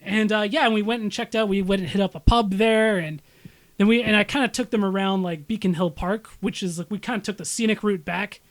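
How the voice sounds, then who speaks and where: A young man from Canada with a high voice, chatting on a podcast. He rambles with excitement, swears often and keeps dropping "like" between his words. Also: a little fast. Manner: excited, rambling, heavy swearing, many "like" fillers. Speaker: podcast co-host. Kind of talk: casual chat.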